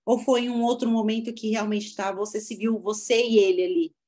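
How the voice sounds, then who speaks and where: A woman's voice: medium pitch, flat delivery, brisk, a little mellow. A woman hosting a faith podcast, asking long questions and affirming her guest. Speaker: podcast host. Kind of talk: casual interview chat.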